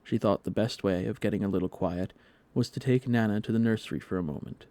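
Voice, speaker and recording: A man narrates a storybook in a scripted audiobook style. His voice is fairly low and very mellow, recorded dry with hardly any room sound. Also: fast, dry recording.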